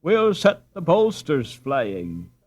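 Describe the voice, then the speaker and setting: Man putting on a cheeky boy, raised pitch. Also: huge pitch swings, takes it slowly, a little mellow, full character voice. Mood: mischievous. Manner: mocking, teasing. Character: mocking, mischievous boy, cheeky and boisterous.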